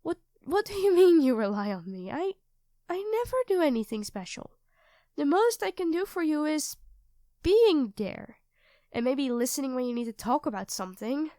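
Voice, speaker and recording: A woman's voice: sits high, very animated, normal speed, no room sound, clean studio sound. A woman playing a sweet, self-doubting young girlfriend in an intimate ASMR roleplay, speaking hesitantly and earnestly to her partner. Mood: apologetic, earnest. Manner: hesitant, soft-spoken, earnest, addressing a partner directly.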